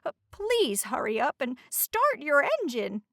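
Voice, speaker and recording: A watchful female lighthouse from a children's storybook, played by a woman reader. Her voice is high and dry, and she speaks as the one in charge of the sea channel. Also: big pitch swings, a little slow, a bit bright, no echo, heavily acted. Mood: concerned, urgent.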